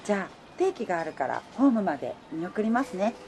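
A woman's voice: medium pitch, sing-song, fairly quick, noisy background. A woman playing a romantic, easily flustered working woman, in radio drama dialogue and first-person narration.

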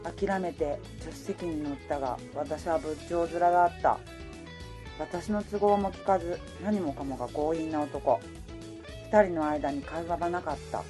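女性が演じる 恋に揺れる働く女性の語り. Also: female voice, deep voice, measured pace, warm tone, soft background music. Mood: subdued. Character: romantic working woman, easily flustered, telling her own story. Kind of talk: radio drama dialogue and first-person narration.

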